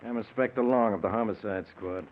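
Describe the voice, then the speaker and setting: An actor playing a businesslike homicide inspector with a formal, pointed manner in 1940s radio drama style. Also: male voice, lowish voice, fairly quick, close to an everyday voice. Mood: firm, probing. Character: businesslike, insistent male homicide police inspector. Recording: noisy, dull 1940s radio recording.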